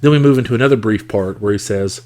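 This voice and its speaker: A man hosting a podcast, talking casually and thoughtfully with a rough, congested, scratchy voice from a cold. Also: fairly low pitch, fast talker, warm tone. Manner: reflective, thoughtful, with frequent fillers. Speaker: podcast host. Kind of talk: casual solo podcast talk.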